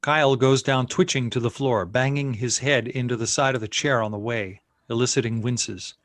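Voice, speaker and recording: A man's voice: medium pitch, fairly even intonation, moderate pace, a bit bright, no room sound. A man reading scene headings and stage directions as narrator at a screenplay table read.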